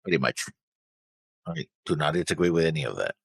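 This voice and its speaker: A man with a very low, gravelly and husky voice talks in a casual discussion. He wanders up and down in pitch as he floats hesitant theories. The sound is dry and close. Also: big pitch swings, brisk, fairly bright tone, no echo. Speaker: anime reaction show co-host.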